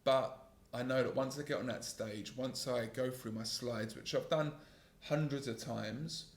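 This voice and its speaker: Calm gravelly-voiced man in an echoey room. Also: medium pitch, unhurried. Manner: calm, earnest, self-critical, with frequent restarts. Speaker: podcast host and sales trainer. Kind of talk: solo reflective podcast monologue.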